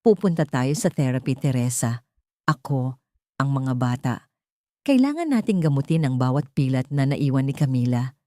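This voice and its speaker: A woman voicing a remorseful, pleading father in an audiobook drama, gentle and halting in manner. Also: extremely low pitch, sing-song, dry recording, studio quality, pitched below the performer's own voice. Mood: earnest pleading. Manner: soft, halting, emotional.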